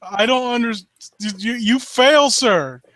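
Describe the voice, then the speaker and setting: A man with a high voice critiques a story in a casual way. He sounds sarcastic and exasperated, and he is free with his swearing. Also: takes it slowly, a bit bright. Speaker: co-reader and critic on a live reading stream. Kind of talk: casual mocking commentary and story reading.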